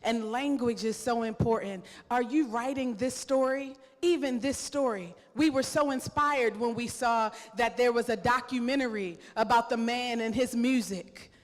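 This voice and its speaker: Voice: mid-range voice, normal speed, few pauses. An event organizer giving a rousing convention speech, rallying the room with rhetorical questions. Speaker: event organizer introducing a guest. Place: large convention hall. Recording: echoey hall.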